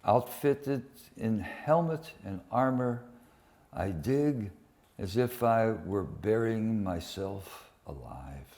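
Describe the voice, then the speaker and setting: Slow, deliberate man reading poetry. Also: lowish voice, expressive, dark tone. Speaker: poetry reader and presenter. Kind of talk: live poetry reading with informal introductions. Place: public poetry reading at a museum.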